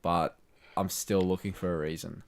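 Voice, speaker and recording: Young man from chile, co-host chatting casually, low voice, mock-grand jokes. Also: little pitch movement, normal speed, creaky. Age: young adult. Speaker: podcast co-host. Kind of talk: casual chat.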